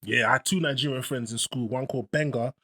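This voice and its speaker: A man hosting a podcast, talking fast in a casual chat. His voice is bright and a little husky, and it swings high and low as he tells stories. He sits a touch above the usual male pitch. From England. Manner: lively, quick, puts on voices when telling stories.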